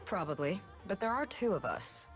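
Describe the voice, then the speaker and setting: Woman doing sardonic deadly bird lady, game character, dry cool wry voice, mid pitch, faint music. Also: big pitch swings, moderate pace, close to an everyday voice. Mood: cool, deadpan. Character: sardonic, deadpan, deadly bird-woman rebel with dark humor. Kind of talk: video game voice acting.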